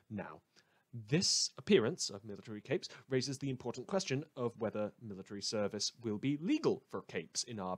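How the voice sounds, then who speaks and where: A man playing a snide radio newsman in a superhero world, with a very animated, swooping pitch and a dry, close sound. He delivers scripted satirical news in an articulate, sardonic way, laying on mock earnestness as he airs his opinions. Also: no echo. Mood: sardonic, suspicious.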